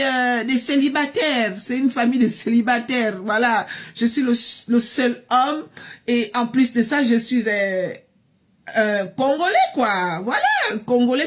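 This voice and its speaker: A woman voicing a brash, boastful young man who brags loudly about himself. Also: a little high, voice pushed up. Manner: boastful, loud and swaggering.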